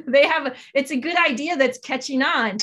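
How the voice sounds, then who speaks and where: A woman's voice: sits high, normal speed, a bit bright. A woman in her forties speaking earnestly and emphatically as an interview guest, explaining her advocacy work over a video call. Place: small home office, indoors. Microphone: video call on a computer.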